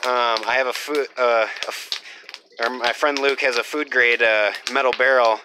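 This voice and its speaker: Young male homesteader, casual vlog rambling, even-toned, noisy camera audio. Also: mid-range voice, moderate pace. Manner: rambling, lots of fillers, self-described monotone. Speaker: homesteader vlogger. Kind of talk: casual off-grid build vlog. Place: half-built earthship and outdoor rooftop.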